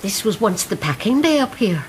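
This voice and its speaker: A woman's voice: mid-range voice, big pitch swings, normal speed, a little hiss or hum, lightly acted. An actress in a radio drama playing an elderly woman, a former mill worker who reminisces wistfully and bitterly. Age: older. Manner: reminiscing, drifting into song. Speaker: Missus O'Hare.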